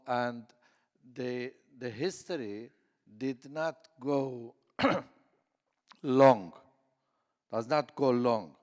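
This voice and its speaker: A man from southeast Turkey, speaking on a conference panel with many fillers and restarts. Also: medium pitch. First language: Kurdish. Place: conference hall. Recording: echoey conference hall.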